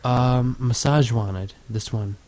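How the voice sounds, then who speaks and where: A man's voice: medium pitch, takes it slowly, darkish tone, a little hiss or hum. A man co-hosting a crude casual chat show, talking in a profane, offhand way that often trails off. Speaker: podcast co-host.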